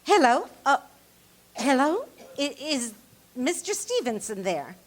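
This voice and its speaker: An actress as a nervous, sickly housewife in an old-time radio play. Her voice sits high and swoops around animatedly. She is fretful, flustered and insistent. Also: very animated, slow, heavily acted. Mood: anxious, confused. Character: nervous, fretful invalid wife alone at home.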